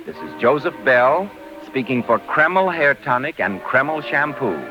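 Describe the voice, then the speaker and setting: Vintage announcer: man, mid-pitched, scripted delivery over clear music. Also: sing-song, a little slow. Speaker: radio announcer. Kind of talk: scripted 1940s radio announcing. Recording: dull vintage radio recording, music behind.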